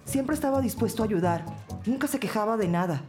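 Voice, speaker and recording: An actress playing an imposing but courteous female school principal with a deep voice in an audio drama, music behind her. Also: animated, fairly quick, lightly acted. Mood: courteous, regretful. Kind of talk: scripted audio drama dialogue.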